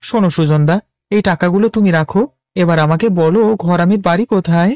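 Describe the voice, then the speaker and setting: An actor voicing a naive, dithering young village husband who is anxious to please in a cartoon. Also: male voice, sits high, a little fast, darkish tone, no room sound, natural voice. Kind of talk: Bengali cartoon voice acting.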